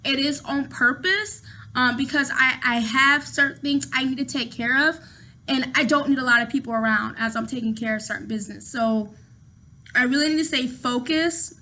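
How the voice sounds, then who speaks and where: A woman in her thirties with a high, bright voice that carries a sharp edge. She chats to her viewers from her apartment in a loose, rambling way, circling back and repeating phrases as she goes. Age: 30s. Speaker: vlogger. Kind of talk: casual talk to camera.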